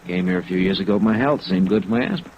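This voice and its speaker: Nervous cagey american guy in old radio drama, lowish voice, hesitant, muffled sound w light rain. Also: expressive, fast. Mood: uneasy, guarded. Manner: hesitant, evasive, reluctant. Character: uneasy, guarded ordinary man under questioning. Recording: dull old radio recording, faint rain noise.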